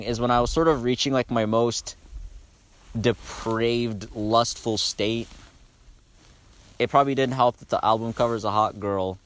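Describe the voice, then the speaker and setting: A man's voice: mid-range voice, clean tone. A young man talking casually and bluntly about songs as a video host, swearing freely.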